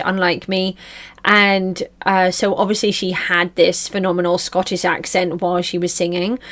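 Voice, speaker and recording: A middle-aged woman hosting her own channel, chatting casually to camera in a rambling, self-deprecating way. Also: mid-range voice. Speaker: channel host.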